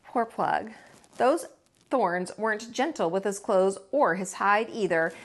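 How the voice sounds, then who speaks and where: A woman reading a children's story aloud as a teacher, with folksy, expressive delivery and dramatic emphasis. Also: mid-range voice, unhurried. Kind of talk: animated read-aloud storytelling.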